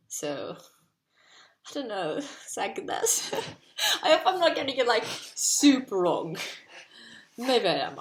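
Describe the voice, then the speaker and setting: A woman's voice: medium pitch, echoey room. A 19-year-old woman from Portugal with a Portuguese accent, chatting casually and rambling to camera from her bedroom, full of "like". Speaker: vlogger.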